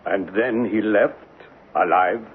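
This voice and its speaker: A man playing a grave, formal police inspector questioning deliberately, in old-time radio drama style. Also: on the low side, slight background noise. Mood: probing. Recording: muffled phone-like old radio broadcast.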